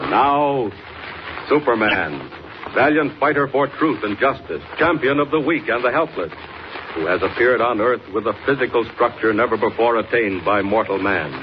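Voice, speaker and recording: A man's voice: medium pitch, animated, moderate pace. A man announcing a radio serial in dramatic, grandiose, emphatic 1940s scripted narration. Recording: muffled noisy old radio recording.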